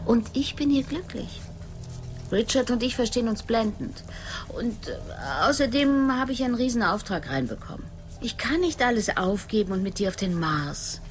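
An actress playing a hesitant but firm former wife in a radio drama, speaking softly. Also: female voice, fairly high pitch, animated, normal speed, faint music behind, lightly acted. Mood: gently firm.

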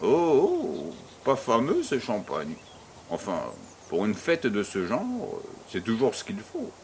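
A man acting as a pompous, jovial baron in a French audio drama, speaking in a ceremonious, deliberate way. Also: medium pitch, wildly swooping pitch, slight background noise, full character voice. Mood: genially bossy. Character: pompous, jovial male baron hosting a charity fête.

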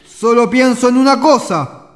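A man's voice: extremely high pitch, measured pace. A Chilean man acting a brooding, scornful young intellectual in a radio drama. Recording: noisy, long reverberant tail.

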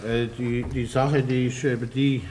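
An actor playing a timid gangster underling with a halting, apologetic stammer in an old radio comedy. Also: male voice, medium pitch, flat delivery, a little mellow, a little hiss or hum, close to an everyday voice. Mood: nervous. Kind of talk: 1950s radio comedy acting. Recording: old radio recording with long reverb.

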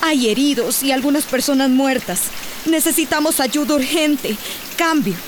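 A woman's voice: high voice, moderate pace, lightly acted. An actress with a Central American accent playing an urgent village woman radioing for help in a radio drama. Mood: urgent, anxious. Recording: noisy, rainy background.

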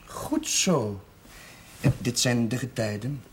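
An actor playing a calm, devout clergyman, measured and gently instructive, in a television drama. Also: medium pitch, a little hiss or hum, lightly acted. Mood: calm, explanatory.

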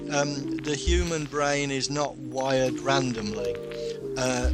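A man's voice: mid-range voice, steady tone, slow, over loud music. A man in an interview, talking in an argumentative, incredulous and emphatic way. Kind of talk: opinionated interview talk.

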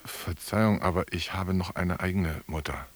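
An actor playing a young assistant doctor in a radio drama, formal, hesitant and politely stiff. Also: male voice, low-pitched, moderate pace. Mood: evasive, formal. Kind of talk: German radio drama acting.

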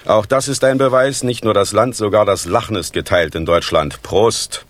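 An actor playing a smug, menacing older East German party official in a radio drama, speaking in a measured, declamatory and falsely courteous way. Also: male voice, lowish voice, natural voice.

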